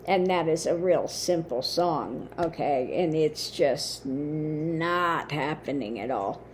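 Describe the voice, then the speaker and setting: A woman talking casually to her viewers in a halting way, her voice lowered and weakened after throat surgery. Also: slight background noise. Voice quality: lowered, limited voice. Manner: slow, halting, with many fillers. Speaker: spiritual channel host. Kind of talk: casual monologue to camera.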